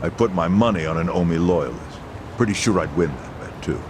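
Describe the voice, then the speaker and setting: An actor playing a wary, streetwise underworld informant with casual confidence in a video game scene. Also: male voice, sits low, expressive, a little fast, noisy background. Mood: wary, matter-of-fact. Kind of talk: video game dub acting.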